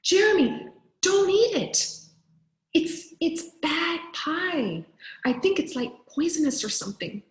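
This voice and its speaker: A woman's voice: very high-pitched, very animated, slow pace, voice pushed up, heavily acted. A woman voicing an earnest young boy in a children's storybook, hesitant and emphatic. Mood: panicked. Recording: roomy, echoing sound.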